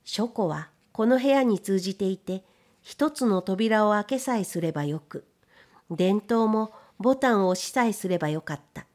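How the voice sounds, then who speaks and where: A woman narrates an audiobook in a mid-pitched voice. Her delivery is measured and literary, shaped as careful storytelling from a script. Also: expressive, darkish tone.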